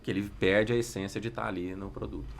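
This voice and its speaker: A man's voice: fairly low pitch, fairly even intonation, fast talker, vocal fry. A Brazilian man speaking as a podcast guest in a casual, informal business conversation, illustrating points with little stories.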